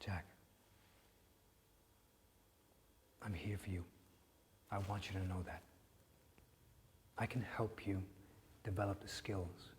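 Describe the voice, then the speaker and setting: Actor as a raw, profane widower. Medium-pitched, halting, in a big reverberant space. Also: male voice, a little mellow, a little hiss or hum, natural voice. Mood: hushed pleading. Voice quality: profane, halting with repeated restarts. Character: grieving widower, raw, blunt and tender. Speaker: widower speaking to a grieving listener. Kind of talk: emotional dramatic monologue.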